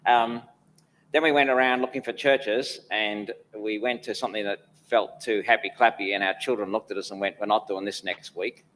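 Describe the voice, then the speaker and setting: A man in his fifties, mostly Australian in accent with traces of other places, answers questions in a church. His voice sits a little low, and he rambles good-naturedly with dry jokes. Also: fairly even intonation, normal speed, fairly bright tone, rough recording. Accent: mixed, Australian with traces of places lived. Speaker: church council chair. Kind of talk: interview answers.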